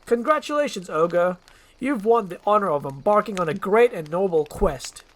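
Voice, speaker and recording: A scheming villain lord from a storybook tale , acted out by a solo narrator. He proclaims pompously and talks down to his listener. The pitch rises and falls theatrically. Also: expressive, normal speed, lightly acted. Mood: grandiose.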